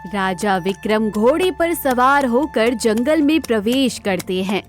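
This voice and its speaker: Woman reading out a kids story, high voice, really slow pace, bit of music behind. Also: animated. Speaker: storyteller narrator. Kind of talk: scripted children's story narration.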